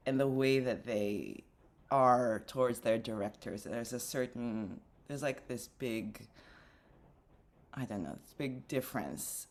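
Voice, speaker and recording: Young female dancer-director from the Philippines, strikingly low voice, thoughtful, hesitant delivery. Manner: reflective, lots of fillers and restarts. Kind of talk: casual interview conversation.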